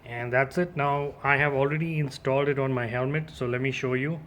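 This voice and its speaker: A man's voice: medium pitch, steady tone, brisk, fairly bright tone, a little hiss or hum. A man giving a casual, informal unboxing and demo as a gear reviewer, addressing his viewers directly.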